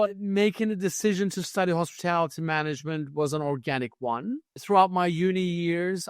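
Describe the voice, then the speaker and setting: A man in his fifties, born and raised in Turkey, a head concierge who is a guest on a hospitality podcast and tells his story in a lively way, acting out the dialogue he quotes. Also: fairly high pitch, smooth, dry recording, clean studio sound.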